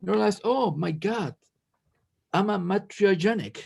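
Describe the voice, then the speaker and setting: A man in his sixties from Venezuela, giving a halting conference talk over a video call. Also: sits high, gravelly, a little mellow. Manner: halting, many fillers and restarts. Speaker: conference presenter, ethnobotanist. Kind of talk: academic slide presentation.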